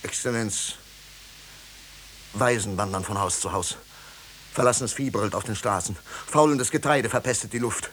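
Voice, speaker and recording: An actor playing a tormented, guilt-ridden pastor who pleads fervently, in formal 1950s German radio-drama style. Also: male voice, on the low side, moderate pace, pauses often, fairly bright tone, lightly acted. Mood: bitter, impassioned. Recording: hissy 1950s radio recording.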